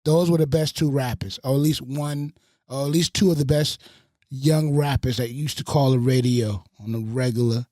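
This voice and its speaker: A man from the Bronx and Fayetteville, a radio host and producer, telling animated stories on a podcast and swearing freely. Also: mid-range voice, normal speed, no room sound, clean studio sound. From Bronx, New York and Fayetteville, North Carolina. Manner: animated storyteller with a lot of swearing. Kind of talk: casual podcast storytelling.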